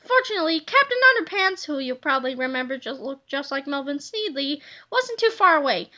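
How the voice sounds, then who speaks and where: A woman narrating a children's storybook aloud in an expressive, scripted reading style. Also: high-pitched, a little fast.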